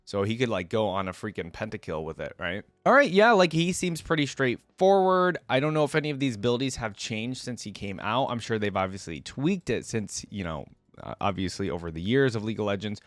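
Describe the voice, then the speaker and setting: A man's voice: fairly low pitch, lively intonation, fairly quick, dry recording. A man hosting a reaction video, commenting casually and musing aloud in informal, slangy speech. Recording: faint music behind the voice.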